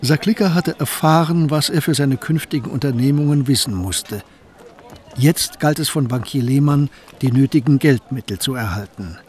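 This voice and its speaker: A man narrating a radio play in an even storytelling manner. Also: mid-range voice, normal speed.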